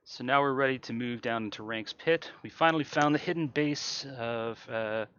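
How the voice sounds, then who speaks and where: A man's voice: medium pitch, moderate pace, dull audio, slight background noise. A man giving casual, easygoing, explanatory commentary on his own video game speedrun. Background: loud video game music and car sounds.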